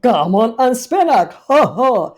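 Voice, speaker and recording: A woman's voice: fairly high pitch, sing-song, slow pace, voice pushed up, heavily acted. A woman voicing a male magpie doctor who can only caw the same few squawking words in a storybook. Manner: squawking, repetitive cries. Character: cawing male magpie doctor, bird chatter.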